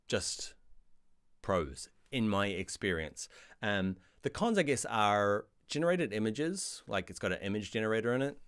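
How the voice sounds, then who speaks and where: A middle-aged man with a New Zealand accent, answering viewer questions in a casual live stream about design software. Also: on the low side. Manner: chatty, reads viewer questions aloud, animated asides. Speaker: online design course instructor.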